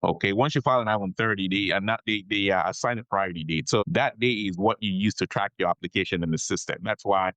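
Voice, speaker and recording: A man's voice: lowish voice, fast talker, hardly any pauses, fairly bright tone, no echo. A man being interviewed on a podcast as an immigration attorney, explaining things patiently, repeating his key advice and often checking in with "okay?".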